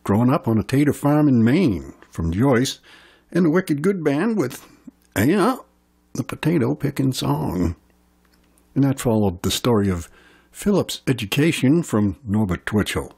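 Male radio host, husky tone, animated easygoing song intros. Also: mid-range voice, a little slow. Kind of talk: radio music show patter.

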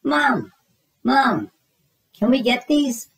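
Guy doing a kid bunny for a picture book, high pitched, whiny n pleading, kinda boastful. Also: very animated, extremely slow, vocal fry, fairly bright tone, roomy sound, exaggerated voice. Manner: whiny, insistent, childlike. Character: eager young boy rabbit, pleading and boastful.

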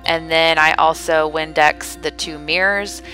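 A woman's voice: low voice, steady tone, measured pace, sharp tone, soft background music, poor audio. A woman in her thirties filming herself at home, chatting casually through her cleaning day with lots of "like" and "um". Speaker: vlogger. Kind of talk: cleaning vlog narration and selfie chat. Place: large house, indoors. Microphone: phone camera at arm's length.

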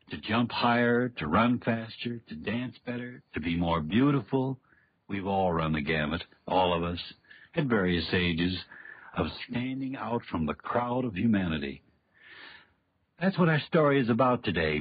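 A man introduces a 1930s radio horror program in a solemn, low voice. His delivery is slow and deliberate. The recording is muffled, with music clearly behind him. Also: dry recording. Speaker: host and announcer.

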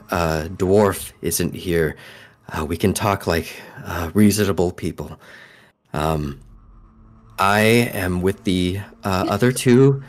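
Man voicing a low-pitched, halting vampire woman. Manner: halting, stammering. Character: pale dark-haired vampire woman, cautious and earnest.

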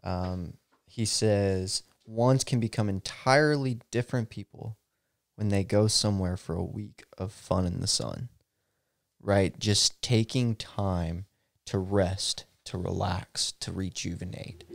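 Gravelly young man on a podcast. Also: low-pitched, slow pace, no echo, studio quality. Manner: chatty, animated, laughs easily, says "right?" often. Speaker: podcast co-host. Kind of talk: casual chat. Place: small home studio room. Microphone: boom-arm podcast mic.

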